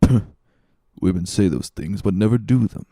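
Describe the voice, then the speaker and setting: A man plays a scheming, scornful plotter in a classic storybook drama. The voice is mellow with a creaky edge. He talks with sly, measured condescension. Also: lowish voice, lightly acted. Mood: sly, goading. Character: cunning, scornful, smooth-talking male schemer.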